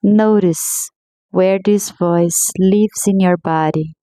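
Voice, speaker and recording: A woman guiding a meditation in a slow, soothing, gently paced scripted voice. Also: on the low side, steady tone, no echo. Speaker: meditation guide.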